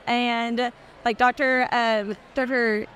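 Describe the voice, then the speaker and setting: High-voiced gushing teacher woman. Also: level tone, slow pace, a bit bright, clean tone. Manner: gushing, enthusiastic. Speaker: teacher, guest. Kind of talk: casual interview. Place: outdoor event fair.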